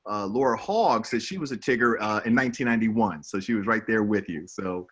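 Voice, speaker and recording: A man's voice: on the low side, expressive, a little fast, a bit bright, husky. A man moderating an online panel in an upbeat, effusive and encouraging way, speaking over a video call.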